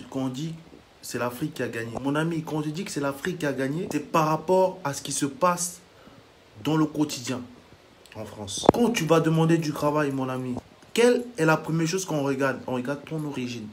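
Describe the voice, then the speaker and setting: A man's voice: medium pitch, a little hiss or hum. A man from Africa delivering a heated reaction monologue as a vlogger, indignant and lecturing, blunt to the point of insults.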